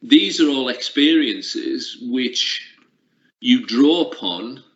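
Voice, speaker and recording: A man's voice: mid-range voice, animated, takes it slowly. A middle-aged man, a sports coaching lecturer, answering interview questions in a reflective way with frequent restarts. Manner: reflective, uses many restarts and fillers. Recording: lo-fi roomy recording.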